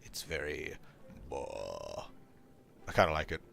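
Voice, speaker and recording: Croaky deep-voiced male game streamer. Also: very animated, takes it slowly, sharp tone, slight background noise. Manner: rambling, thinking aloud. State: tired voice from talking all day. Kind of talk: casual gaming livestream commentary.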